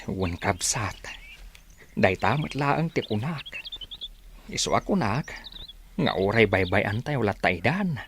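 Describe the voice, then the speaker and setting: A man's voice: fairly low pitch, big pitch swings, slow, long pauses, a bit bright, slight background noise, full character voice. A man with an Ilocano accent playing a troubled, resigned villager who sighs and agrees, in a radio drama.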